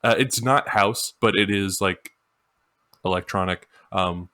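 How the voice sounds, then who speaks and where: Man, podcast co-host, deep pitch, dry close sound, casual music chat. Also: a little slow, pushed and bright, dry recording.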